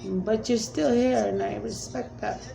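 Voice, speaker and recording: A woman's voice: on the high side, fairly even intonation, unhurried, vocal fry, crowd noise. A woman speaking as a self-described spirit medium in a rambling, emphatic monologue, pleading and repeating commands.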